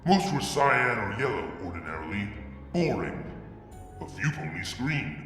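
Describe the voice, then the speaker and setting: A man reading fan fiction aloud, narrating in the first person with brooding, deliberate delivery and sudden drops to a hush. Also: fairly low pitch, big pitch swings, soft background music. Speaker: fanfiction reader. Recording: reverberant room with long echo.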